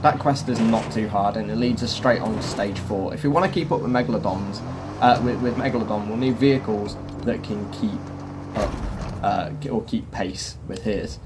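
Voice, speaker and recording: A man's voice: lowish voice, normal speed. A man presenting a video game walkthrough, explaining things step by step to viewers in a chatty way. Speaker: gaming YouTuber presenting a quest guide. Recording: game sound clearly behind voice. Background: video game ambience and vehicle noise.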